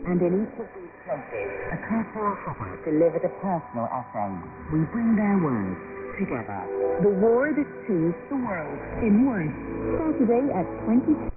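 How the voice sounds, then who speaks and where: A man's voice: on the high side, sing-song, normal speed. A man reading a scripted radio trailer as a station announcer. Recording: tinny shortwave radio, loud music.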